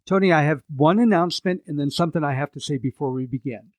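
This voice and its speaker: Male podcast co-host, everyman; wry, relaxed, a touch high, dry studio sound. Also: fast, dry recording, soft background music. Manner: wry, self-deprecating, relaxed storytelling. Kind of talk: casual podcast chat.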